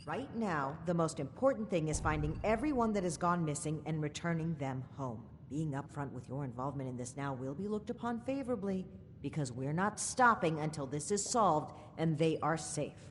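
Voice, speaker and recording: A dogged military investigator in a video game. Her voice is low, her manner formal, righteous and accusing. It rings with long reverb. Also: expressive, normal speed, a little hiss or hum. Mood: resolute. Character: stern, righteous female soldier investigator, dogged and suspicious. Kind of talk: video game character dialogue.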